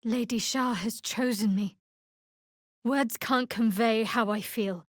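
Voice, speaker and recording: An actress playing a guarded, devout young woman cleric in a video game, with a wry, measured delivery. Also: a little high, no echo, studio quality. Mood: earnest, solemn. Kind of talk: video game character voice acting.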